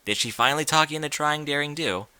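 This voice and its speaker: A blunt tomboy pony from a storybook, performed by a man, guarded and a little awkward. The voice is bright and slightly raised, with hesitant, casual phrasing and a close, dry sound. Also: on the high side, quick pace, clean tone, dry recording, pitched above the performer's own voice. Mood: wary.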